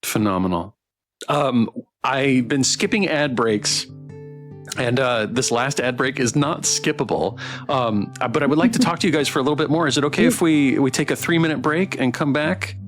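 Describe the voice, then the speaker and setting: A man hosting a casual livestream Q&A, effusive and quick to apologize. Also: medium pitch. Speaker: streamer and host. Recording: music playing behind the voice.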